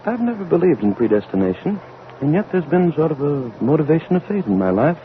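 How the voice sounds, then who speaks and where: A haunted, authoritative older atomic scientist in an old radio play. His solemn, formal voice sits a little high and swings widely in pitch. The recording is very thin and tinny, like a telephone. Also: very animated, fast talker. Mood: brooding, grave. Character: grave, haunted elderly atomic scientist commanding a rocket. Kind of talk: vintage American radio drama acting. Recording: tinny vintage radio recording, background rumble.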